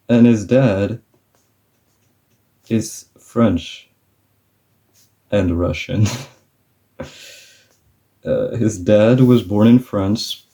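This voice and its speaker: A man's voice: lowish voice, very slow, gravelly, pauses often, warm tone. A young man chatting in a rambling way with fillers and laughs while he draws for his vlog. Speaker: vlogger. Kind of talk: casual chat while drawing.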